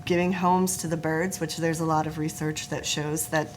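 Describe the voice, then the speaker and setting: A woman's voice: low voice, little pitch movement, moderate pace, a little hiss or hum. A woman guest on a talk show, a forest therapist, explaining her work in an earnest, informative way. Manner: earnest, informative, laughs easily, some restarts.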